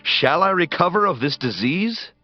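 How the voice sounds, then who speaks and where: An actor playing a deferential royal envoy of ancient Syria in a dramatized scripture reading, speaking formally and theatrically. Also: male voice, a little high, very animated, normal speed. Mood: earnest. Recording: dull recording under clear music. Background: music.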